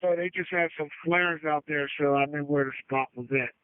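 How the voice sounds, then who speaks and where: A man working on a road crew, checking in over two-way radio in a casual, halting way. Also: on the high side, steady tone, clean tone, no room sound. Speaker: public works road crew driver. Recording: tinny narrowband radio transmission.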